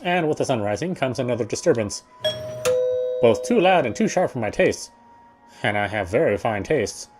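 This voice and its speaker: A man reading first-person story narration aloud in a theatrical, fussy gentleman's manner. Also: medium pitch, big pitch swings, moderate pace, over music. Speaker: game player reading aloud.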